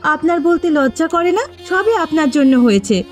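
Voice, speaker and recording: Woman acting high-voiced, reproachful village daughter-in-law. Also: expressive, normal speed, soft background music. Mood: accusing. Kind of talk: Bengali cartoon drama dialogue.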